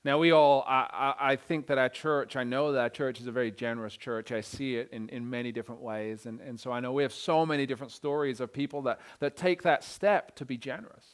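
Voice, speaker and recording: A man preaching a sermon as pastor, energetic and given to repeating his words as he starts sentences. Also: mid-range voice, a little fast, few pauses.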